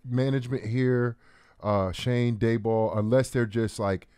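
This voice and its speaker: A man's voice: medium pitch, fairly even intonation, unhurried, darkish tone, smooth, no room sound. A man in his thirties giving casual, conversational sports analysis as a co-host, repeating phrases as he builds his points. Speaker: former pro football player, co-host. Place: small podcast studio. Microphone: close broadcast mic on boom arm.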